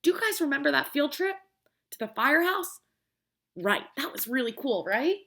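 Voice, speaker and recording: Lively, high-voiced woman reading to preschoolers. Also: moderate pace, vocal fry. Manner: expressive, simple explaining, prompting children with questions. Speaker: preschool teacher. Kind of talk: children's picture book read-aloud with questions to young listeners.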